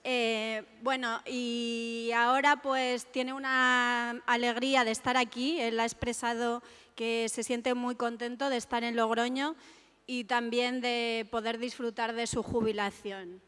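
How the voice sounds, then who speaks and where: A woman's voice: a little high, little pitch movement, measured pace, fairly bright tone, clear, resonant tone. A woman introducing a speaker at a public talk, a little nervous and informal. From Spain. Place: lecture hall. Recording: long hall reverb.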